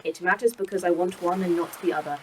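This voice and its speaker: A woman's voice: fairly low pitch, fairly even intonation, fast, a little hiss or hum, close to an everyday voice. An actress voicing a fussy, earnest female facility AI that fixates on small choices, in an audio drama. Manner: earnest, pedantic, oddly childlike. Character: fussy female facility AI, indecisive about colours.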